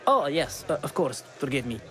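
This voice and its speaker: A man's voice: mid-range voice, very animated, moderate pace, fairly bright tone, faint music behind. A man playing a dismissive, weary and corruptible clerk in a video game, with faint crowd noise behind. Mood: flustered.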